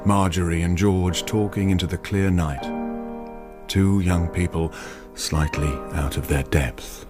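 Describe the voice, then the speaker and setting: An actor playing a brooding, all-knowing storyteller, giving measured, ominous dramatic narration in a radio drama. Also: male voice, low-pitched. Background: loud music.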